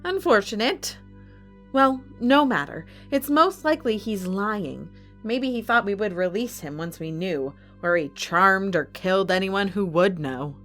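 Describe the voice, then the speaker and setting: A woman's voice: medium pitch, lively intonation, a little slow, a bit bright. A woman playing a composed, imperious villain who runs a fantasy fight arena in an audio drama. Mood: dismissive. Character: cold, commanding female villain running a fantasy fight arena. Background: music.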